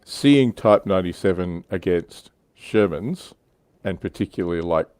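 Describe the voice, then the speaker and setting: A man with a deep voice chats casually as a panelist. The recording is dry, with very little room sound. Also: expressive, a little slow, darkish tone, no echo. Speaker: wargaming livestream panelist. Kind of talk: casual chat.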